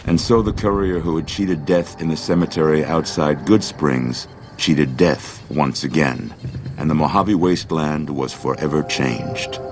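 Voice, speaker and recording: An actor playing a grave, solemn storyteller, reading scripted narration for a video game in a deliberate way. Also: male voice, rumbling low, music in the background. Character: grave, solemn male storyteller of wasteland epilogue.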